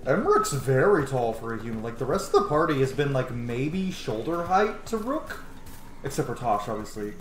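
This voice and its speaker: A man's voice: mid-range voice, sing-song, normal speed, slight background noise. A man, giving casual, enthusiastic, sweary commentary while streaming a game. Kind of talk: casual let's play commentary. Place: small room at home, indoors. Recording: roomy home mic over game music.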